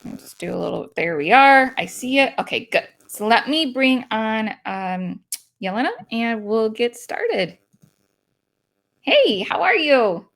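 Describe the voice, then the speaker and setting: A woman's voice: on the high side, sing-song, takes it slowly, a bit bright. A woman hosting a podcast in a bubbly, chatty way, laughing easily. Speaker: podcast host.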